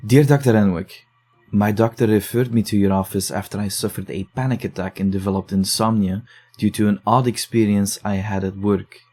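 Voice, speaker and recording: Man, low voice, mellow dark tone, measured calm delivery, reading aloud. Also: faint music behind. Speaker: investigator reading case files. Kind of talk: scripted audio drama, dialogue and reading aloud.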